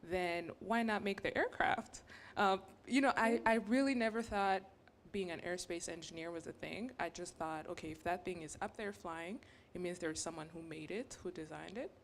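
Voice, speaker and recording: A young woman from Rwanda, a graduate student on a panel, telling her story in an animated, earnest way. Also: fairly low pitch, normal speed, a little hiss or hum. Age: young adult.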